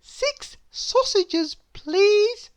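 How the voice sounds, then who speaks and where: A woman's voice: very high-pitched, animated, extremely slow, dry recording, voice pushed up, exaggerated voice. A woman voicing a sweet, naive, kind-hearted young princess from a storybook, earnest and dainty. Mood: polite.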